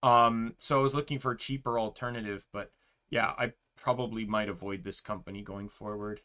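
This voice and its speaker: A young man streaming, chatting casually with a loud voice, rambling with lots of fillers and restarts. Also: fairly low pitch, fairly even intonation, a little fast.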